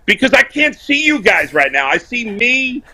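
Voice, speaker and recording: A man calling in to a sports talk show, loud, blunt and opinionated, bantering casually over a video call. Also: very high voice, big pitch swings, normal speed. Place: remote video call while on vacation.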